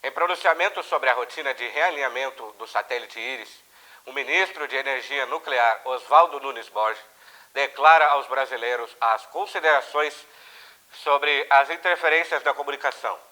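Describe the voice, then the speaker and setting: A man's voice: mid-range voice, normal speed, pushed and bright, close to an everyday voice, rough recording. A man playing a serious television news anchor, reading formal, declarative bulletins in a mock newscast. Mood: solemn.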